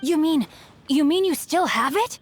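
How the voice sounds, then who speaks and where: A poised, gallant young swordsman, courteous yet sharp-tongued, in a light raised register. A faint hiss runs beneath. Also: high voice, lively intonation, a little slow. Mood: curious.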